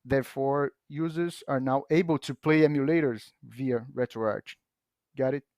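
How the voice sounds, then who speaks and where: A man whose first language is Portuguese, patiently explaining software on a podcast, often restarting his sentences. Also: medium pitch, fairly even intonation, no echo, studio quality. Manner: patient, halting with frequent restarts and fillers. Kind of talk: casual explanatory chat.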